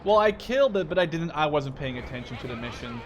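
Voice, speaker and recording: A man's voice: fairly high pitch, animated, fast talker. Young man commentating over game battle noise. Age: 20s. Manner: rambling, self-interrupting, informal with mild swearing. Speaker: gaming video maker. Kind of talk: casual gameplay commentary. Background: artillery, gunfire and vehicle sounds from game.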